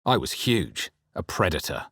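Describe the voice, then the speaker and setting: A grave, guarded male adventurer from a fantasy video game. His voice sits very low and has a husky grain. He delivers each line in a measured, brooding way, recorded close and very dry. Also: very animated, a bit bright, no room sound, exaggerated voice. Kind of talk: dramatic video game voice lines and first-person narration.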